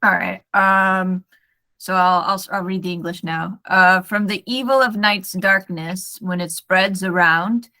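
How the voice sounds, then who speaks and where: Bright-voiced American woman tutor. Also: medium pitch, steady tone, measured pace. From United States. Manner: friendly, self-correcting, reads text aloud carefully. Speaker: English conversation tutor. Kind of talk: casual online lesson.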